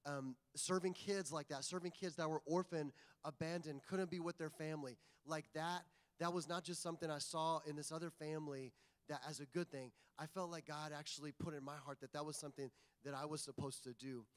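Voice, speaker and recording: A man in his forties from Indiana tells a personal testimony on a church stage, earnest and dotted with ums. His voice runs a touch high. A long hall reverb trails behind each phrase. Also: steady tone, a little fast, clean tone. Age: 40s. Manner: earnest storyteller, lots of ums. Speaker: guest ministry leader. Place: church sanctuary.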